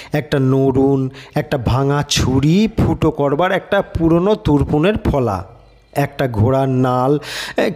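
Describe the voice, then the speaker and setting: A man narrating a scripted literary story as an audiobook, with expressive storytelling delivery. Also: mid-range voice. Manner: expressive storytelling, acts out characters' lines.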